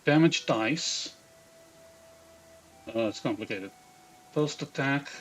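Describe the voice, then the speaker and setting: A man's voice: mid-range voice, expressive, takes it slowly, slight background noise. A man from Israel with an Israeli accent, streaming live, reading chat aloud and muttering half-sentences to himself as he builds a game character. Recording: dull lo-fi stream audio.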